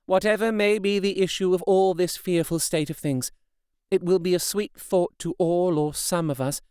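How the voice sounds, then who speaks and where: A devoted young wife, as a male reader puts her on, pleading with earnest tenderness. The voice sits noticeably higher than the reader's own, smooth and resonant. It is heard dry and close, with no room around it. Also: fairly even intonation, normal speed, a little mellow, no room sound, lightly acted. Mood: solemn pleading. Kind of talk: audiobook character reading.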